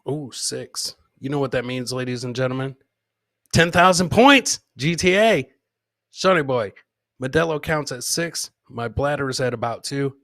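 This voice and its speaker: A man's voice: medium pitch, normal speed, fairly bright tone, no room sound, studio quality. A man hosting a live comedy podcast, bantering casually and rambling, with swearing and showman-like addresses to his audience. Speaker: podcast host.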